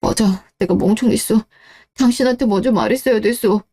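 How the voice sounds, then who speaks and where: Radio drama role: evasive, desperate husband played by a woman, self-justifying and pleading, with a muted, mellow timbre. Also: on the high side, a little fast, slightly rough. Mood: pleading, apologetic. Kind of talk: radio-drama story dialogue.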